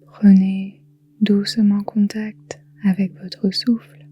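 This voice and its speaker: Slow, breathy woman guiding relaxation. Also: medium pitch, lo-fi sound. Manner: soft, breathy, whispery delivery; slow, calm, soothing, with long pauses. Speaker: yoga nidra guide. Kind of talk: guided relaxation meditation.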